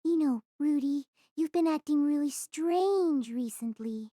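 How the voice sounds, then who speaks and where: A woman voicing a gentle, shy young girl in an audio drama, soft and hesitant. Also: sits high, a little slow, dry recording, clean studio sound. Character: gentle, shy six-year-old girl, boy's friend.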